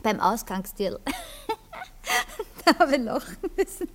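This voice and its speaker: A high-pitched young Viennese woman, tipsy and flighty, in a theatrical radio drama. She pouts, sighs and drops into whispers, defiant and dramatic. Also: very animated, a little slow. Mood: dreamy, tipsy. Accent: Viennese Austrian. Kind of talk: radio play acting, theatrical.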